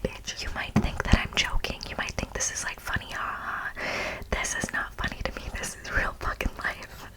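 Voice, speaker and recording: Young female ASMR host, fully whispered and breathy, chatty informal delivery with mock seriousness. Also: normal speed. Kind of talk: whispered ASMR, casual presentation.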